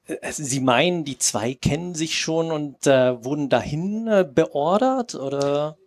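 A man talking on a stage in improvised style, presenting hesitantly and deliberately. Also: a little high, expressive. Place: tented festival stage.

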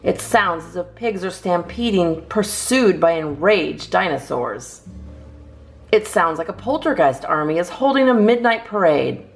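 A woman's voice: on the low side, animated, measured pace, creaky. A woman hosting a storytime, reading children's poems aloud expressively with theatrical emphasis. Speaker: storytime host reading a picture book.

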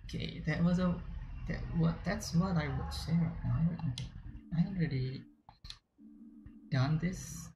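Slow, gravelly Indonesian-accented streamer. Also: mid-range voice, noisy background. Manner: frequent throat clearing, halting restarts. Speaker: game streamer. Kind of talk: casual let's-play commentary. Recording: echoey room, faint game music behind.

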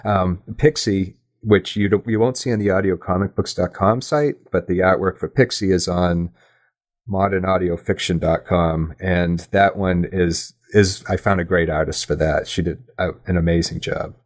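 Very low-voiced man, halting and conversational. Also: fairly even intonation. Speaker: podcast guest, audio drama creator. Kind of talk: casual interview chat.

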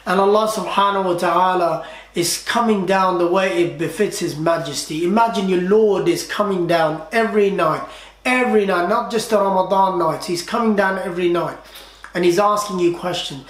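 An imam delivers an earnest Friday sermon in a fairly high voice. He repeats key phrases for emphasis and recites Arabic passages quickly. His voice rings through an echoey prayer hall. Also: fairly bright tone. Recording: echoey room.

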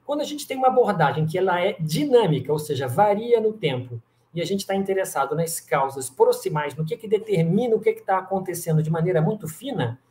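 A man's voice: high-pitched, expressive, brisk, hardly any pauses, a little mellow. A middle-aged man giving an online lecture over slides on a video call, explaining step by step with frequent fillers and restarts. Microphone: webcam on video call. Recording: echoey room.

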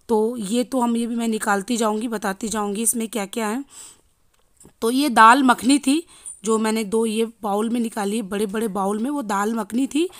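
A woman in her thirties with a hoarse voice, chatting casually to viewers in a home vlog, filmed on a handheld phone. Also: on the high side, no room sound, clean studio sound. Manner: chatty, addressing viewers directly. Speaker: vlogger. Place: home kitchen and living room. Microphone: handheld phone selfie.

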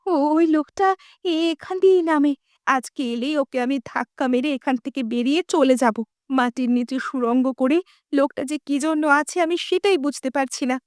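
A woman's voice: sits high, moderate pace, no echo, studio quality, natural voice. A woman voicing a brave, defiant village girl in a cartoon, sounding determined. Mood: determined, tense. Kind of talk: dubbed cartoon dialogue.

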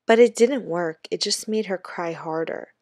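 A woman reading a children's story aloud like a teacher, in a measured, expressive narrating manner. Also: lowish voice, no echo, studio quality.

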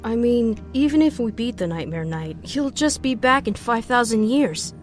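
Woman doing an anxious bookish boy, highish voice, really up and down, skeptical cartoon hero, music under it. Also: expressive, moderate pace, close to an everyday voice. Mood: doubtful. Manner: exasperated, doubtful. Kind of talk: animated comic fan dub. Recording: music behind.

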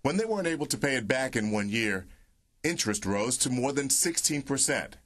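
A man narrating a TV news report in a steady, measured way. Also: mid-range voice.

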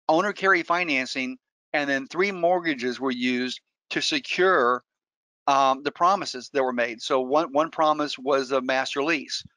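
Middle-aged male webinar speaker; earnest, slightly high, dry close-miked voice. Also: sharp tone, no echo, rough recording. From Colombia. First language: Spanish. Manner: earnest storytelling with frequent restarts. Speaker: real estate investor and webinar guest speaker. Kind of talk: webinar presentation.

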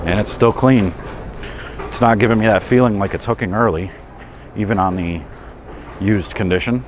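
Deep voiced guy in his 40s doing a laid back review, noisy bowling alley behind him. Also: normal speed. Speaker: product reviewer and bowling coach. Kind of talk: casual unscripted review talk. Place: indoors in a pro shop and bowling alley. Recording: clear background noise of bowling alley.

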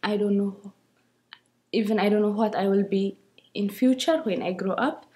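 A sixteen-year-old young woman with an Ethiopian accent, giving a personal account in halting, simple English. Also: mid-range voice, warm tone. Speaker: school student.

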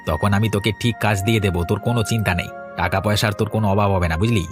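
A man's voice: on the low side, fairly even intonation, very fast, music in the background, close to an everyday voice. A man voicing a bossy but kindly village trader in a cartoon, lecturing a younger man and reassuring him. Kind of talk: cartoon voice acting.

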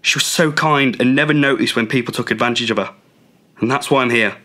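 A man's voice: medium pitch, fairly quick, pushed and bright, lightly acted. A young man acting in a radio drama, playing a controlling, jealous boyfriend with an insistent way of talking. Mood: regretful. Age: young adult. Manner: accusing, insistent. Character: controlling, jealous young boyfriend, self-justifying and quick-tempered. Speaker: student actor.

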